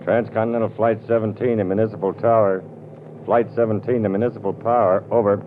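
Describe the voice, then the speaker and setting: A man playing a low-voiced airline pilot, tinny old radio. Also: level tone, fast talker, close to an everyday voice. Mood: matter-of-fact. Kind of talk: 1940s radio acting. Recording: tinny, phone-like old radio sound with hiss.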